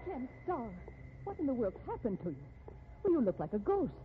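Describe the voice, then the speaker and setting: An actress playing a well-off, determined society woman with a brisk, concerned manner in a 1950s radio drama. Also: high voice, sing-song. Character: insistent well-off society woman, successful writer. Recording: tinny, noisy 1950s radio recording.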